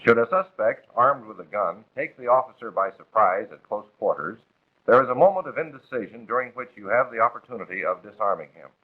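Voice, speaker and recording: A man's voice: mid-range voice, expressive, a little fast. An American man narrating a 1940s instructional film from a script in a formal, authoritative, instructive manner. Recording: muffled, phone-like vintage film soundtrack.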